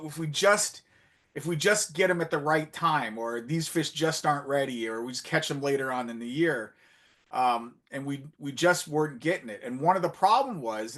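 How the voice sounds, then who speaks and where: A man giving a conference talk with slides, chatty and full of fillers and restarts, getting animated when he retells his anecdotes. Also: medium pitch. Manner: conversational, many fillers and restarts. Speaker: conference speaker. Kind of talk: presentation with slides, storytelling.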